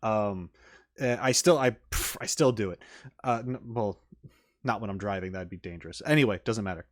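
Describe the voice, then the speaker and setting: A man's voice: on the low side, moderate pace. A man giving casual, unscripted reaction commentary as a video host, rambling informally with emphatic enthusiasm.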